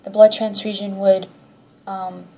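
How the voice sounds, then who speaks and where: A woman's voice: mid-range voice, little pitch movement, a little slow, warm tone, slight background noise, low-quality recording. A woman in her thirties giving a chatty, rambling personal update to camera, sounding congested and a little nasal from allergies. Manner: chatty, rambling with many ums. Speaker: vlogger.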